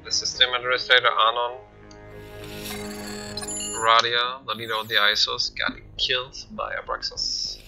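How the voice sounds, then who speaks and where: A man giving casual, unscripted commentary for a game showcase, explaining loosely in halting phrases. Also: medium pitch, animated, creaky, music in the background, low-quality recording. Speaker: gaming channel narrator.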